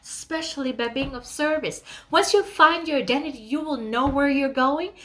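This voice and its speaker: High-pitched woman motivational speaker. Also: normal speed, a bit bright. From Kenya. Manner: emphatic and conversational, asks rhetorical questions. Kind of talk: motivational talk addressed to listeners.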